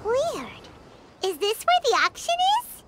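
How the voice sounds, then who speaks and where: A woman voicing a tiny, excitable, childlike fairy companion in a video game, squeaky and chatty, exclaiming and speaking of herself in the third person. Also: unhurried, heavy background noise, full character voice. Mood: watchful, puzzled. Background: music.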